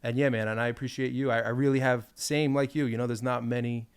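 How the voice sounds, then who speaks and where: A man's voice: medium pitch, steady tone, quick pace, smooth. A man in casual podcast chat, sounding a little congested from a cold, reflective and loose. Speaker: podcast guest, painter.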